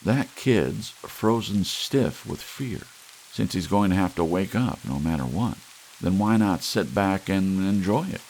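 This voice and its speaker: A man plays a nervous man covering his fear with bluster, waving off his friend in a deep, gravelly voice. His talk is slow and dismissive. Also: animated, a little mellow, a little hiss or hum, low-quality recording. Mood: irritable. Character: jittery man brushing off worry with bluster.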